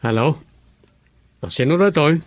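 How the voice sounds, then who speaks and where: A man's voice: a little high, lively intonation, a little slow, dry recording. A man with an Ilocano accent playing a distraught husband searching for his wife in a radio drama, agitated and questioning. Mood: earnest.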